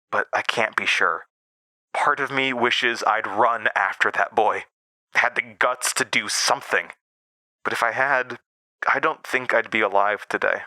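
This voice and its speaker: An actor playing a haunted man who recounts frightening childhood memories in halting, uneasy phrases for a horror audio drama. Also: fairly low pitch, pushed and bright, no room sound, full character voice, rough recording. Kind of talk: first-person horror audio drama narration.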